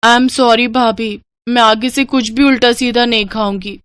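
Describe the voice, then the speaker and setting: A woman's voice: a little high, fairly even intonation, unhurried, a bit bright, clean tone, no echo. Whiny young unmarried sister-in-law, pleading and contrite, for a family cartoon, played by a woman; dry recording. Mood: apologetic.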